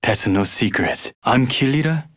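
A man's voice: mid-range voice, expressive, a little slow, a bit bright, dry recording, clean studio sound. A man playing a guarded, secretive young hacker in a video game.

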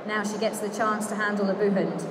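A woman working as ring announcer in a large indoor exhibition hall, calling out names slowly and clearly over a public-address system. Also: mid-range voice, flat delivery. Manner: slow, declamatory announcing of names. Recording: crowd and hall noise.

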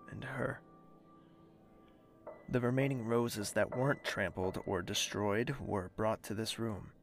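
A man playing a brooding, tormented young nobleman with a gravelly voice in an audio drama. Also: on the low side. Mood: reflective. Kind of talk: slow dramatic narration and monologue, audio drama. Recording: music behind the voice.

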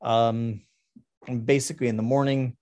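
A middle-aged man talking casually about his artwork on a video call, halting with many ums and restarts. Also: medium pitch, steady tone, a little mellow. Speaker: artist presenting his work. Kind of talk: casual talk over a slide presentation.

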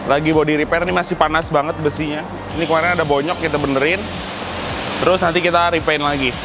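A man's voice: a little high, fairly even intonation, quick pace, over music. A young man from Indonesia giving a casual, slangy walk-through of his custom workshop, laughing often. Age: young adult. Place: large indoor car workshop.

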